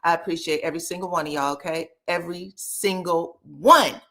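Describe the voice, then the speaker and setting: A woman's voice: lowish voice, fairly even intonation, brisk, gravelly, sharp tone. A woman, chatting casually as she hosts a livestream recap and greets viewers by name. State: slightly scratchy voice. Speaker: reality TV recap livestream host.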